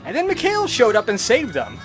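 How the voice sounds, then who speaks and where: A man's voice: very high-pitched, wildly swooping pitch, brisk, fairly bright tone, soft background music. A man giving casual commentary as he plays through a video game. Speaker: let's player.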